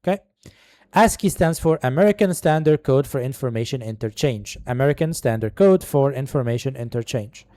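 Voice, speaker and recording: A man whose first language is Arabic walks viewers through a screen-recorded tech lesson, reading the course text and breaking off into casual asides. His voice is dark and mellow. The close mic sounds dry, with no room echo. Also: mid-range voice, lively intonation, brisk. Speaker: tutorial host.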